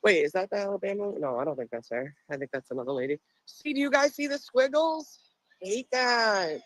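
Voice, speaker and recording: A woman with a Boston accent and a deep voice, chatting casually and rambling as a livestream host, laughing often, on a phone outdoors. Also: lively intonation, a little fast, slight background noise. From Boston area.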